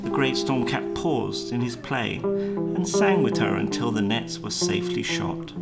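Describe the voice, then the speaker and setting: A man narrates a storybook tale in a measured, dramatic read-aloud style. His voice sits at an average male pitch. Plucked guitar music plays strongly behind him throughout. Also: big pitch swings, gravelly. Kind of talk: scripted storybook narration for a live puppet show.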